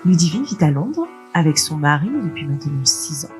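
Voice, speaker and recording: A woman hosting a podcast, reading a clear intro and then asking chatty questions with fillers. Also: low voice, very animated, fairly quick, music in the background. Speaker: podcast host. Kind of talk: scripted intro, then interviewing.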